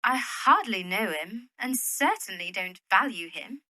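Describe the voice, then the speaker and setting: Animated, bright-voiced genteel young widow, acted by a woman. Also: medium pitch, a little slow, poor audio. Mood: guarded, uneasy. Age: young adult. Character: poised, genteel young widow keeping up appearances. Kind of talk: audio drama acting.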